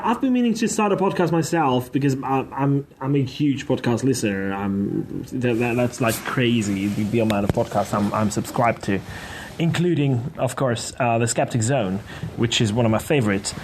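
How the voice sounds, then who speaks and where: A man's voice: medium pitch, expressive, moderate pace, a little mellow. A man from Hungary with a Hungarian accent, chatting eagerly as a podcast co-host and repeating words as he thinks. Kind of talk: casual interview chat. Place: conference venue.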